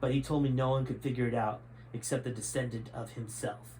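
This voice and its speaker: A man's voice: mid-range voice, fairly even intonation, brisk, mellow, a little hiss or hum, lightly acted, poor audio. A man voicing a scowling, secretive schemer in a story reading, strained and menacing. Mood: smug. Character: scowling, secretive male schemer, threatening and short-tempered.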